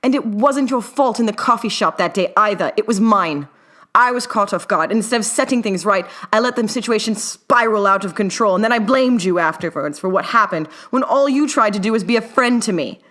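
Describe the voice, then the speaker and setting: A woman's voice: mid-range voice, lively intonation, brisk, a bit bright, voice pushed up, close to an everyday voice. Woman reading a stuffy proud professor guy in an audiobook, formal and clipped, kinda biting. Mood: urgent, apologetic. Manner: formal, clipped, stiffly polite. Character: stiff, proud, acerbic male academic. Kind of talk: dramatic fiction reading.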